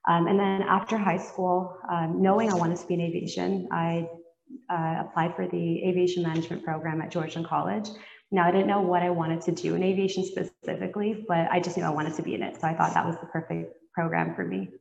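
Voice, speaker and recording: A woman from Canada answering interview questions, with many pauses and restarts. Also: lowish voice, creaky, darkish tone, a little hiss or hum. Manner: hesitant, many fillers and restarts. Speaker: guest pilot. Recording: dull, echoey remote audio.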